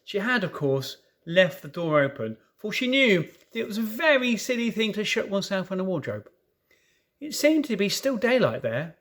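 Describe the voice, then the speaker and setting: A man narrates a children's storybook in a lively, highly varied voice that sits fairly high. His delivery is expressive and dramatic, with playful asides along the way. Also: moderate pace.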